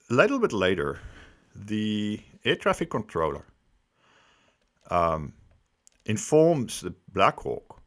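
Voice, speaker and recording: Male analyst host, low-pitched, highly varied intonation, emphatic and deliberate, explaining off the cuff. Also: fairly bright tone. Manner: emphatic, deliberate, repeats phrases for stress. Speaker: host giving accident analysis. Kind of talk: explanatory commentary, unscripted.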